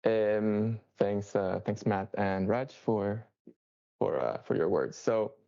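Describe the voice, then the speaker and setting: A man's voice: lowish voice, takes it slowly, creaky, dark tone, dull audio. A man from Chile with a Chilean Spanish accent, a non-native English speaker, giving a casual work talk with many fillers and restarts. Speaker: software engineer speaker.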